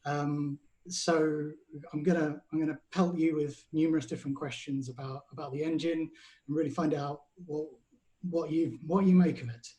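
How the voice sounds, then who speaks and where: A man with a somewhat high voice hosting a podcast interview. He circles around his questions, stumbling and restarting before he lands on what he means. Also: fairly even intonation, moderate pace, warm tone, rough recording. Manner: rambling, stumbling over phrasing. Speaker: podcast host.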